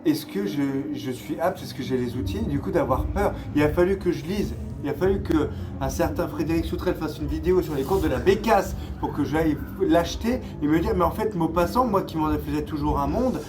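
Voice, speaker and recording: A man's voice: on the high side, fairly quick, hardly any pauses. A man in his thirties chatting casually as an interviewer, in a hesitant, wandering way. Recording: noisy live event ambience.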